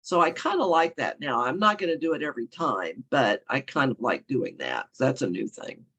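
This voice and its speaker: Woman author, hoarse and froggy from a cold, casual rambling chat. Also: very deep voice, very animated, normal speed, fairly bright tone. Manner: rambling, self-correcting, chuckling often. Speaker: guest author.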